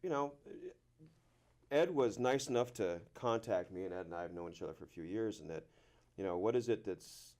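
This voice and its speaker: Low voiced guy on a panel, plain talk, kinda halting, casual. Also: a little mellow. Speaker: panel guest, public works worker. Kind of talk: casual chat.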